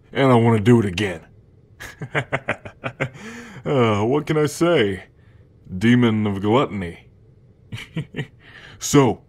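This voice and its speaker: Man playing a slow-talking, gluttonous playful demon. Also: mid-range voice. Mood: playful, eager. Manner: casual slangy talk with frequent chuckles. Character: gluttonous male demon, playful, childlike, grumpy, always hungry. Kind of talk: ASMR roleplay addressed to the listener.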